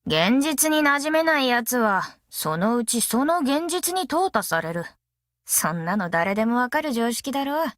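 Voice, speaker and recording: A woman voicing a brash, easygoing, long-lived female master metalsmith in an anime game, with rough, cocky, tomboyish speech. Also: on the high side, big pitch swings, a little slow, fairly bright tone, dry recording, studio quality. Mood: musing, self-assured. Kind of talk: anime mobile game character voice lines.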